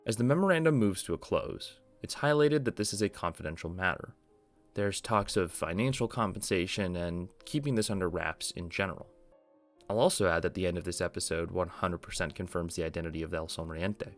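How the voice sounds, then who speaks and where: A young man hosting a video essay, reading scripted narration in a measured storytelling explainer style. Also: low voice, soft background music. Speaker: video essay host explaining an analog horror series.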